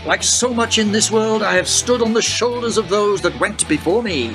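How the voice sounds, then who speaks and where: Man playing elderly time-travelling inventor, high-pitched for a man, cryptic and theatrical, radio drama with music underneath. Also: moderate pace, low-quality recording. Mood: earnest, cryptic. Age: older. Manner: theatrical, old-fashioned formal phrasing. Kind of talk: radio play acting.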